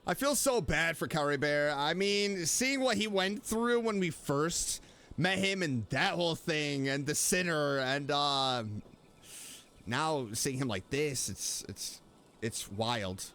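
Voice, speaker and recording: A man's voice: a little high, expressive, slow pace, a bit bright, smooth. A man streaming a game, giving casual, reflective commentary and empathetic reactions aloud.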